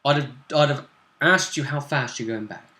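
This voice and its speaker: British man, conversational game master, forward bright tone, echoey room recording. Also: medium pitch, moderate pace. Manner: conversational, explanatory. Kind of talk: casual recap of a tabletop role-playing session.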